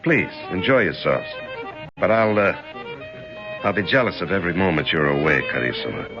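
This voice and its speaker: A charming crook in an old radio drama, with a slight lilt and a lively, swooping delivery. The voice is fairly low, and violin music plays under the dull lo-fi sound. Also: male voice, normal speed. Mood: possessive charm. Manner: charming, hesitant, suave. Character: charming smooth-talking male crook with Italian airs. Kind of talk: 1970s radio drama acting.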